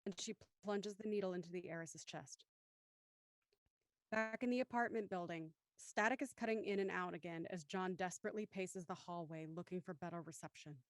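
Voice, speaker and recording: A woman narrator reading scripted audio drama stage directions in a measured, descriptive manner. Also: on the low side, no echo.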